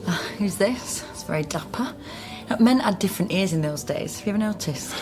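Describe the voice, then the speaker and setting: An actress playing a wry, solitary British genealogist in a naturalistic radio drama, with a dry, matter-of-fact way of talking. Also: female voice, mid-range voice, expressive, brisk, natural voice. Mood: wry, businesslike. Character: wry, solitary female genealogist, brisk and self-mocking. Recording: music under studio radio drama.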